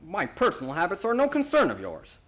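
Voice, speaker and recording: A man's voice: high voice, wildly swooping pitch, a little fast, a bit bright, full character voice. A man acting a fussy, nervous personnel examiner in a comic radio play, jittery, officious and snappish. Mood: flustered, snappish.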